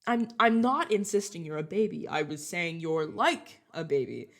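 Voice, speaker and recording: A woman's voice: lowish voice, expressive, normal speed. A woman playing a casual, reassuring vampire guide in an audio roleplay, talking informally to the listener as a mentor to a newcomer. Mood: teasing, defensive. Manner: chatty, informal, self-correcting, reassuring.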